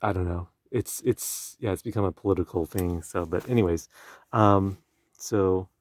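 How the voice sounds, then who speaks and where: A young man with Chinese as his first language, hosting a casual conversation and talking in halting phrases with many restarts and fillers. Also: sits low, dry recording. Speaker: podcast host. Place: indoor room with a field recorder. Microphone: field recorder.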